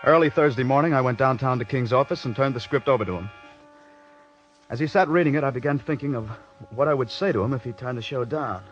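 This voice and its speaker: An actor playing an embittered, stubborn scriptwriter in an old radio drama, with earnest, intense dramatic delivery. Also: male voice, medium pitch, fast talker, lightly acted. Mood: brooding, tense. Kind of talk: 1940s radio drama acting and first-person narration. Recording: dull vintage radio recording with music.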